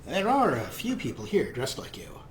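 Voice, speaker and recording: A man's voice: on the high side, wildly swooping pitch, normal speed, a little hiss or hum. A man hosting a video game playthrough, mixing casual commentary with playful in-character replies. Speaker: Let's Play host.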